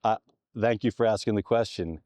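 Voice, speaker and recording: Deep-voiced man, thoughtful and halting. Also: darkish tone, no room sound. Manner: thoughtful, pausing with ums. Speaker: interviewee. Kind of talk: casual interview answers.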